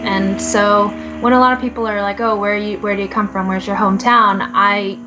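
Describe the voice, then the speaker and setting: A woman's voice: medium pitch, level tone, a little fast, background noise. Reflective young woman, muffled audio. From Southern California. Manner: reflective, many fillers and soft laughs. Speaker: podcast guest. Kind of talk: casual interview, telling her life story.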